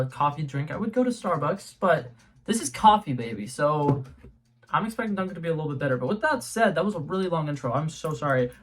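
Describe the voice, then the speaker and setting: Young guy vlogging a taste test at home, kinda high voice, rambly with lots of ums. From New England. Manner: chatty and rambling, with many ums and restarts. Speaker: YouTube host. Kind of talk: casual product taste-test vlog. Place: home room with a color-changing globe light.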